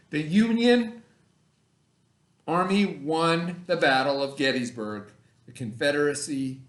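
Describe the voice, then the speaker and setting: A man's voice: medium pitch, fairly bright tone. A middle-aged man teaching children in a conversational way, often checking understanding with questions and repeating himself. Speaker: elementary school teacher. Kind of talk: read-aloud lesson for children, casual explaining. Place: indoor room, remote video lesson. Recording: echoey room.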